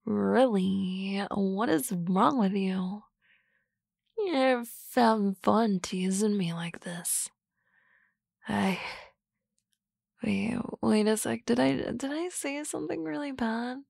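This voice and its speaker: A woman's voice: mid-range voice, takes it slowly, creaky, long pauses, a little mellow, dry recording, clean studio sound, exaggerated voice. A woman voicing a drunk young female supervisor in an ASMR roleplay, rambling and often dropping to a whisper. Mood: flustered. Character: tipsy young woman boss, flustered, shy crush. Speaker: voice actress.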